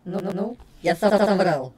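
A man's voice: high-pitched, normal speed, a little mellow, pitched above the performer's own voice. A man voicing a small resentful skeleton puppet in a comic dub, stuttering and repeating words.

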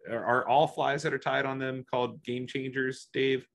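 A man's voice: medium pitch, steady tone, normal speed, fairly bright tone. A man giving an online slide presentation over a video call, talking hesitantly with many fillers and restarts. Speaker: guest presenter.

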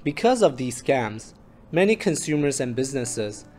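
A man with a mid-pitched voice reads a scripted explainer as a researcher. His delivery is measured and formal. Instrumental music plays behind him. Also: very animated, darkish tone. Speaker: researcher presenting a project. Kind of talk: scripted narration, explainer presentation.

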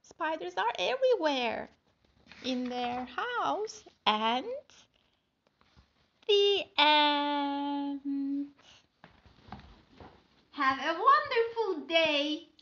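A woman's voice: high voice, big pitch swings, very slow, long pauses, a bit bright, dull audio. A woman in her thirties reading a picture book to young children in simple phrases, recorded on a phone in a living room. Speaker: storytime teacher reading to children. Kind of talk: expressive picture-book read-aloud.

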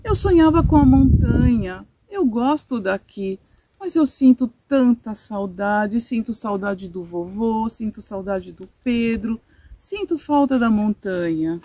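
Woman as an earnest, animated little mountain girl. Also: fairly high pitch, slow pace, no echo. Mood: wistful. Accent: Brazilian Portuguese. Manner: simple, childlike, earnest phrasing. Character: young mountain girl, curious, affectionate, wistful.

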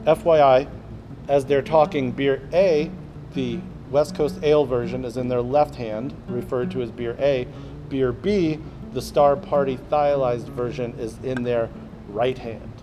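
A man presents a brewing segment in an upbeat, explanatory tone. He stands at a table in a brewery taproom, and a steady hum of background noise runs under his voice. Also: mid-range voice, unhurried. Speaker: brewery video host. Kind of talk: scripted presentation.

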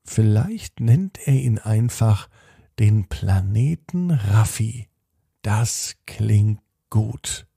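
A man narrating a bedtime story in a slow, soothing way, speaking directly to the child listening. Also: on the low side, animated, creaky, dark tone, breathy edge, dry recording, studio quality.

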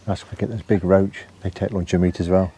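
Soft-spoken man murmuring at a lakeside. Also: low voice, little pitch movement, fast talker, slightly rough. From England. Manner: soft-spoken, chuckling, often murmuring under his breath. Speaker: angler. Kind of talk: casual fishing commentary. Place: outdoors on a lakeside bank. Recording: faint outdoor noise with birds.

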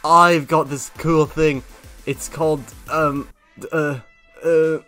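A man's voice: on the high side, steady tone, slow, sharp tone, faint music behind. A man voicing a hot-tempered, whiny game-show host in an amateur web cartoon, given to insults and dramatic outbursts. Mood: sheepish rambling.

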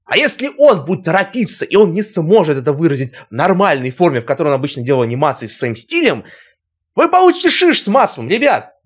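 A young man with a fairly high voice comments casually as a reaction host. His delivery is emotional and full of exclamations. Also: wildly swooping pitch, fast, breathy edge. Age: young adult. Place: furnished room at home, indoors.

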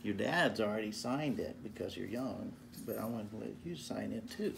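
A man's voice: fairly low pitch, animated, moderate pace, gravelly, noisy background. An older man patiently explains a contract to a young girl in a living room, using simple words. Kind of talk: explaining paperwork to a child.

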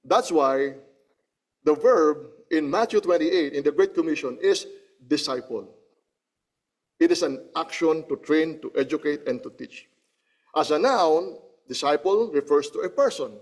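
Measured preacher with a higher voice. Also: male voice, lively intonation. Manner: measured, repetitive, often checks with "Okay?"; clears throat between points. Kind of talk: sermon with slide teaching. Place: church.

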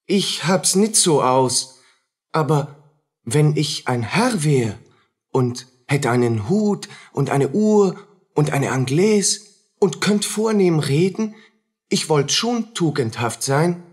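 An actor playing a haunted, humble poor soldier in a radio play, speaking in halting fragments with a husky voice. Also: male voice, fairly high pitch, big pitch swings, full character voice. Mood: humble, earnest.

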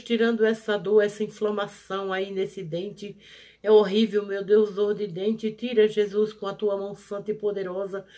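A prayer leader, leading a fervent healing prayer in a rhythmic, repetitive, pleading cadence, swelling loud on each plea and dropping soft on each invocation. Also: mid-range voice. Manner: pleading, rising loud on pleas and falling soft on each "Jesus".

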